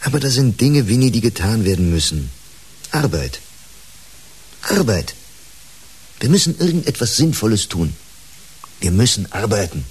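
An actor playing a troubled, earnest, melancholy doctor in a naturalistic radio play. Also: male voice, on the low side, very animated, moderate pace, long pauses, a little mellow, breathy edge, heavily acted. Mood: urgent.

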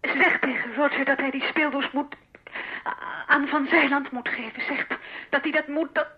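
An actress playing a distressed captive, faltering and repeating herself through a telephone effect in a radio play. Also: female voice, sits high, fairly even intonation, moderate pace. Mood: pleading, distressed. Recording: dull telephone sound.

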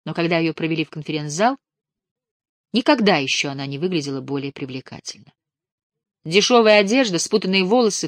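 Low-voiced woman reading an audiobook. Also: dry recording, clean studio sound. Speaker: audiobook narrator. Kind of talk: scripted audiobook narration.

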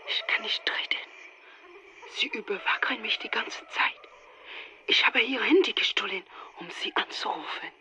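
A woman's voice: on the high side, normal speed, pushed and bright. An actress in a German radio drama playing a frightened, hunted young woman, whispering urgently in secret. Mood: fearful whispering. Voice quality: breathy, whispering.

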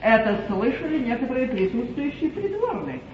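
A commanding English duchess declaims with cool authority. Her voice swings widely in pitch and reaches us from off in an echoey, vintage theatre recording. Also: very animated, normal speed. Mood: cool, authoritative. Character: imperious, commanding English duchess at the royal court. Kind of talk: 1950s classical stage comedy acting. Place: theatre stage. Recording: distant dull 1950s theatre recording, echoey, hiss.

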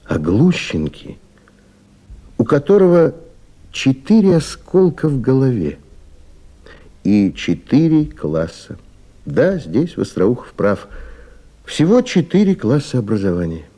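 A man narrating a radio play in a deliberate, weighty, expressive literary style. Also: mid-range voice.

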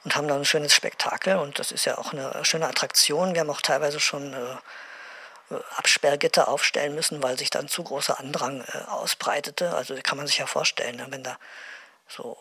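An actor playing a self-satisfied male official in a radio drama, rambling haltingly with callous good cheer and constant tag questions. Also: fairly high pitch, steady tone, bright, forceful tone, husky, full character voice. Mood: pleased, rambling.

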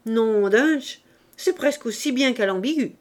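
A woman's voice: mid-range voice, expressive, unhurried, close to an everyday voice. A woman reading aloud, voicing a cheeky, streetwise Paris urchin boy who talks in brash, bossy slang.

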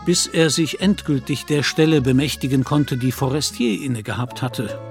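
A man as composed storyteller, mid-pitched male voice, literary narration, radio drama, clear music bed. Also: moderate pace, close to an everyday voice.

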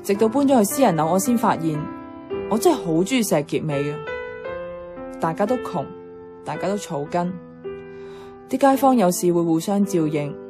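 Actress as a nostalgic stubborn hong kong woman in a radio drama, lowish voice, guitar music behind. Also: lively intonation, moderate pace, long pauses, lightly acted. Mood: wistful. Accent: Hong Kong Cantonese. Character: nostalgic, stubborn woman attached to childhood housing estate. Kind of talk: Cantonese radio drama dialogue.

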